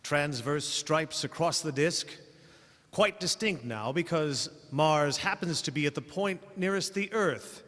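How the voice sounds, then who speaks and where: Higher-voiced skeptical professor, echoing hall. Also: male voice, a bit bright. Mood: calm, skeptical. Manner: deliberate, precise, academic, dismissive. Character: calm, skeptical male scientist, astronomy professor on radio. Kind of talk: old-time radio drama acting. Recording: hall reverb.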